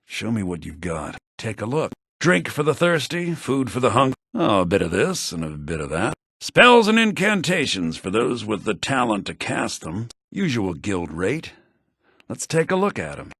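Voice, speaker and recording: A man playing a sly, grasping shopkeeper in a fantasy video game, with a raspy, theatrical delivery, in low-bitrate compressed audio. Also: very animated, moderate pace, fairly bright tone, dry recording, clean studio sound, heavily acted. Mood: sly, wheedling. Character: sly fantasy male shopkeeper, grasping, quick to yell at thieves. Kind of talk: video game character barks.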